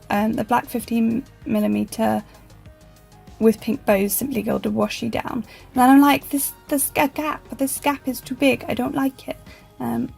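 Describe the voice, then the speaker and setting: Croaky-voiced woman vlogger. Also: on the high side. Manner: chatty and self-deprecating, many ums. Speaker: planner vlogger. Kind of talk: casual voice-over narration.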